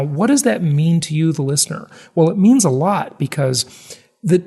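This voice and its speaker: Male podcast host, fairly high-pitched. Casual and conversational, salesy, stresses points, likes to kid around. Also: darkish tone. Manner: emphatic, salesy, joking.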